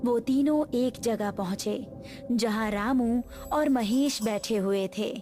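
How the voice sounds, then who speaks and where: A woman's voice: on the high side, slow pace, dark tone, faint music behind. A woman reading a scripted horror story as its narrator.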